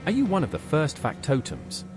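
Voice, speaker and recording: A man's voice: fairly high pitch, huge pitch swings, normal speed. A man's voice playing a direct, inquisitive adventurer in a video game, asking blunt questions. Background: very loud game music.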